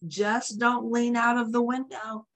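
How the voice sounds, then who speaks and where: A woman voicing a big, gentle, protective bear in a picture-book read-aloud, speaking earnestly and reassuringly. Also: on the high side, flat delivery, unhurried, pitched above the performer's own voice. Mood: urgent, reassuring.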